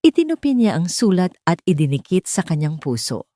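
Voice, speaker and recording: Mellow, low-voiced Filipino woman narrating. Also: big pitch swings, brisk, no echo, clean studio sound. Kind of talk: scripted dramatic story narration.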